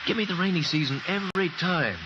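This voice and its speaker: An actor playing a chatty, wisecracking American airfield radio operator who longs for home, in an old-time radio drama. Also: male voice, high voice, fast talker, lightly acted. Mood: gleeful. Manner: slangy, wisecracking, exuberant. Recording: noisy, dull old radio recording.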